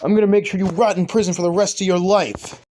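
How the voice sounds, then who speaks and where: A man voicing a vengeful, furious young superhero in an amateur motion comic, shouting melodramatically over music. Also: high voice, little pitch movement, fast talker, a little hiss or hum, voice pushed up, natural voice. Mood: vengeful fury. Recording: music behind the voice.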